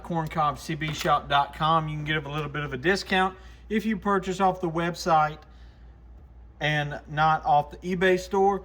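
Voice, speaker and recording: Bright-voiced man giving a casual product demo. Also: fairly high pitch. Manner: informal, plain-spoken, explanatory. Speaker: shop owner and channel host. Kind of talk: casual product demonstration for a video channel.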